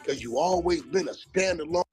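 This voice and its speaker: American male, raised pitch, emphatic street-slang monologue calling people out, music underneath. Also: normal speed, darkish tone.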